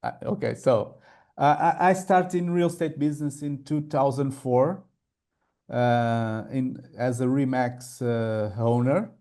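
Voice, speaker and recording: A man's voice: mid-range voice, a little slow, dark tone. A middle-aged man from Portugal, a native Portuguese speaker with a Portuguese accent, speaking hesitant English on a video call, full of fillers and repeated words. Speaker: real estate trainer and coach, guest.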